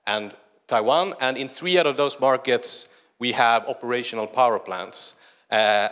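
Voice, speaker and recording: A man with a Swedish accent addresses a big conference hall through a speech microphone. His voice sits at an ordinary middle pitch, and his delivery stays conversational, with plenty of "uh" pauses between phrases. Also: fairly bright tone. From northern Sweden. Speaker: conference speaker. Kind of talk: conference presentation. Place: large conference hall stage.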